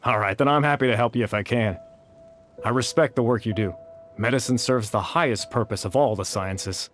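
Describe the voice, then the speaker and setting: An actor playing a principled, disgruntled researcher in a video game, blunt and somewhat dismissive. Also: male voice, medium pitch, brisk, a bit bright, natural voice. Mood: respectful. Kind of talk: video game character acting.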